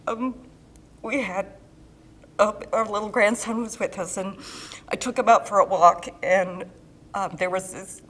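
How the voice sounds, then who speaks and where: Halting, grieving mother. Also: medium pitch, a little hiss or hum. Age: middle-aged. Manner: halting, soft, searching for words. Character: grieving small-town mother of a soldier.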